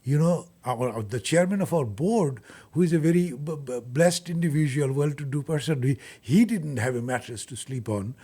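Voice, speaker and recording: A man with a Bangladeshi accent being interviewed, explaining his work earnestly and haltingly, often repeating words. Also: medium pitch, expressive, dark tone, breathy edge. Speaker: guest, charity furniture bank leader.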